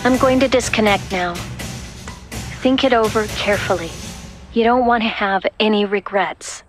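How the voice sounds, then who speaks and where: An actress playing a caring but firm woman soldier in a video game, earnest, steady and direct. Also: mid-range voice, moderate pace, music in the background, natural voice. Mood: earnest, resolute. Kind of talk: dubbed video game cutscene acting.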